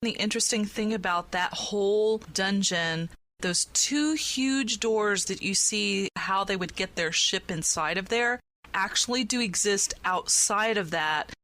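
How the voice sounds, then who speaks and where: A woman's voice: medium pitch, a little slow, sharp tone, dry recording. A woman hosting a themed podcast in a playful, conversational way. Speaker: podcast host.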